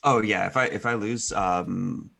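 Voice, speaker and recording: Low gravelly dude on a zoom call, kinda husky, lots of ums. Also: male voice, a little slow. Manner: relaxed, hesitant with many fillers. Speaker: guest streamer. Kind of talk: casual video call chat. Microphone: video call mic.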